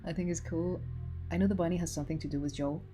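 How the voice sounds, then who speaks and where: A woman's voice: fairly low pitch, fairly even intonation, fast talker, dark tone, faint music behind. A woman in her twenties chatting casually with her livestream viewers, teasing and full of filler words. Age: 20s. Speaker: animator streaming to chat.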